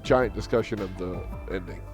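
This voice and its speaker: A man with a fairly low voice joins a casual podcast discussion. He restarts and rewords as he explains, with faint music behind him. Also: normal speed. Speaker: podcast panelist.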